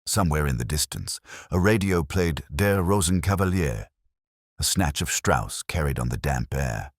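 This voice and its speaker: A man narrating a scripted first-person detective story in a measured, literary, brooding way. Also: very deep voice, lively intonation, dry recording, studio quality.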